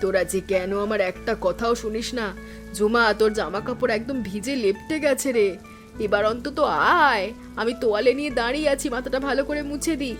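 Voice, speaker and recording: A woman's voice: a little high, a little fast, lightly acted. Woman acting a fretting village mother, music behind. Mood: fretful scolding. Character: affectionate, fretting middle-aged village mother and mother-in-law. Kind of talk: animated family drama voice acting.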